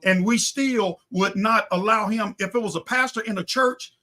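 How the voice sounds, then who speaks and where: A man with a notably high, forceful voice argues his points as a guest on a political talk show. His delivery is emphatic and rhetorical, and he repeats phrases to drive them home. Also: moderate pace. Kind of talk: impassioned political discussion.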